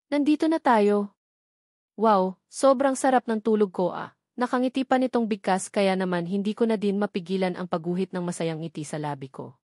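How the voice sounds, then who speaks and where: A woman's voice: medium pitch, a little mellow, no room sound, clean studio sound. A woman reading a first-person romance novel aloud as a scripted audiobook narration.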